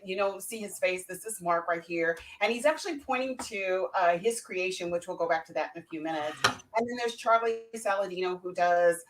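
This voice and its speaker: A woman's voice: mid-range voice, a bit bright, rough recording. A woman hosting a casual interview, chatty and affirming as she prompts her guests. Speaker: host.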